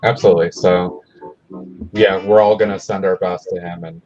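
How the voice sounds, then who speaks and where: Low-voiced male livestream host, lo-fi. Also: fairly even intonation, normal speed, darkish tone, soft background music. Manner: friendly, laughs often, greets viewers. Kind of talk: casual chat. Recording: dull lo-fi stream audio.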